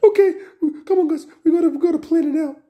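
A very high-pitched young koopa boy from a cartoon comedy skit, acted by an adult. He is excitable and bossy, slipping into whining as he orders his cast around. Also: fast talker. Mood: eager, bossy. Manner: bossy, whiny.

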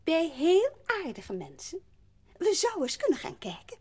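A coaxing aunt in an audio drama. Her high voice swings extremely widely, sugary and persuasive, as she sweet-talks a child with a scheming sweetness. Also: huge pitch swings, moderate pace, dark tone, heavily acted. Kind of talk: Dutch audio drama acting.

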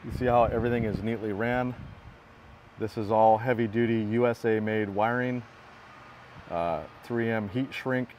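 A man's voice: mid-range voice, steady tone, normal speed, darkish tone, a little hiss or hum. A man giving a plainspoken, matter-of-fact walkaround of a work truck, outdoors in a parking lot, filmed on a phone he holds himself. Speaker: equipment builder giving a walkaround. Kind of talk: casual product walkthrough.

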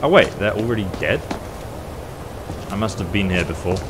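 A man commentating a video game he is playing, excitable and quick to exclaim. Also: background noise. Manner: excitable, exclaims with big pitch jumps. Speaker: gaming YouTuber. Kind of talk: let's-play commentary. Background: game music.

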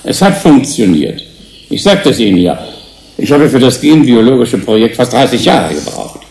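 Creaky, querulous old male professor. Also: mid-range voice, sing-song, normal speed, a little mellow. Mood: indignant. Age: older. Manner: querulous, insistent. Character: proud, accusing older male gene-engineering professor. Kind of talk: German radio drama acting.

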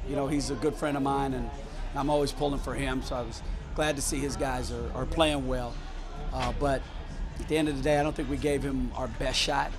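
A man in his fifties, a basketball head coach, speaking candidly at a post-game press conference in a small locker room, talking haltingly with many restarts and fillers into a microphone in front of him. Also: medium pitch. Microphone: table microphone in front.

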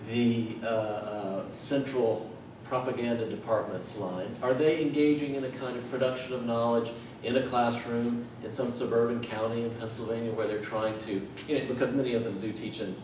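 A middle-aged man seated on an academic panel, making a measured comment with frequent ums. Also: medium pitch. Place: lecture room, seated at a table. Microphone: table microphone. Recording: echoey room, distant mic, noise.

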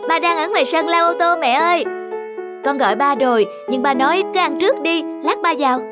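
A woman's voice: sits high, a little fast, music in the background, close to an everyday voice. A woman voicing an innocent, affectionate little boy in a children's cartoon. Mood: eager. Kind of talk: Vietnamese children's cartoon dubbing.

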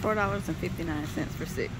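A woman's voice: on the low side, very noisy. A woman vlogging a shopping trip, walking through a big warehouse store and reading out prices item by item on her phone. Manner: unhurried, reads out prices item by item. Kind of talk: casual shopping walkthrough. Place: large warehouse store, indoors. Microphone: handheld phone, voice behind camera.

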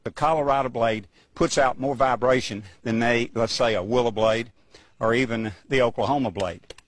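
A man's voice: medium pitch, moderate pace. A middle-aged man hosting a comic fishing show outdoors by a lake, talking in a folksy way and cursing mildly when things go wrong. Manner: folksy, exclaims at mishaps.

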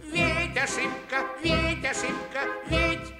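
An actor playing a jolly, careless ship's cook in a radio play, in theatrical sing-song rhymed patter. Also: male voice, extremely high pitch, fairly even intonation, slow, reverberant, over loud music. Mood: jolly, boastful. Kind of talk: Soviet radio play acting, rhymed half-sung patter.

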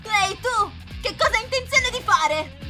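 A woman's voice: extremely high pitch, expressive, normal speed, loud music behind the voice. A woman playing an anxious young anime hero in a melodramatic parody dub. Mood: alarmed.

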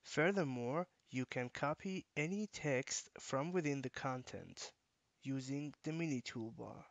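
A man with a mid-range voice reads a software walkthrough in an even, instructional way. The recording is dry and close, with no room sound. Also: mid-range voice, gravelly, no room sound. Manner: measured, instructional. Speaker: software tutorial narrator. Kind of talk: scripted screencast narration.

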